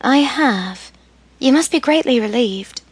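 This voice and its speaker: A woman voicing Emily, an anxious, outspoken young woman, in a soft, breathless, higher voice while reading a story. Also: expressive, a little slow, slightly rough, dry recording, exaggerated voice. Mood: earnest.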